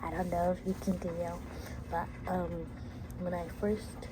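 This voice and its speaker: A woman's voice: on the low side, flat delivery, unhurried. A teenage woman whose first language is French, chatting casually to her phone camera and rambling with frequent restarts. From United States. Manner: rambling, with many restarts and fillers. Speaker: vlogger. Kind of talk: casual chat. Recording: noisy phone recording.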